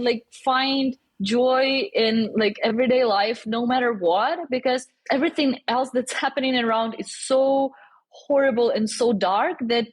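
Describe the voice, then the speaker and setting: A woman's voice: on the high side, husky. A young woman from Ukraine with a Ukrainian accent, speaking earnestly as a guest in a podcast interview, English not her first language. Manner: earnest, heartfelt, with small grammar slips. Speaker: guest cookbook author.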